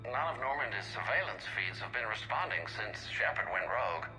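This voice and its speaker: A man's voice: on the low side, lively intonation, a little fast, sharp tone, faint music behind, low-quality recording. An actor playing an anxious, deferential scientist in a video game, cautious and hesitant with his superior. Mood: nervous, hesitant. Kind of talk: video game cutscene acting.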